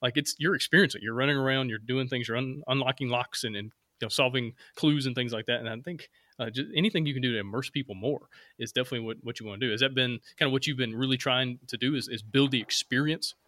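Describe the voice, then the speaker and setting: Southern guy hosting a podcast, talks quick and bright, chatty and rambly, dry close mic. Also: medium pitch, no room sound. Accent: Southern American. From Alabama. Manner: rambling, upbeat, laughs easily. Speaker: podcast host. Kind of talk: casual interview chat.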